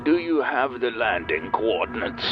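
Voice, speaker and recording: A condescending alien mission controller, acted by a man, heard through a dull radio transmission. He is menacing and measured, with big swoops in pitch, in a comic space radio play with music behind. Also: very animated. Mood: official. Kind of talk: comic sci-fi audio drama acting.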